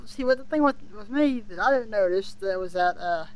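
A man's voice: high voice, expressive, fairly quick, clear, resonant tone. A young man co-hosting a casual review discussion, speaking in a hesitant, rambling way. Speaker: podcast co-host reviewing an audio drama.

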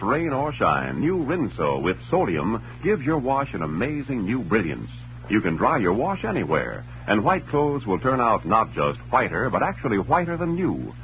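A man reading a scripted 1940s radio advertisement with an emphatic sales delivery. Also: on the low side. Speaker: commercial announcer. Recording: muffled old radio recording, hum, noise.

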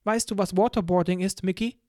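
A man's voice: high-pitched, fairly even intonation, fairly quick, dark tone, no echo, studio quality, voice pushed up, lightly acted. A man reading an audiobook, voicing a cold, stern female interrogator in a clipped, coolly polite way. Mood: icy. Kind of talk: audiobook character voice.

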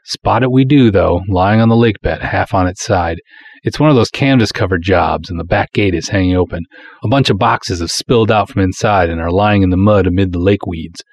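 Husky man acting a folksy pulp lake cop. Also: sits low, brisk. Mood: suspenseful. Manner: breezy, colloquial, hard-boiled wisecracking delivery. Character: proud, folksy small-town male lake cop, pulp detective narrator. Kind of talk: dramatic first-person pulp story narration.

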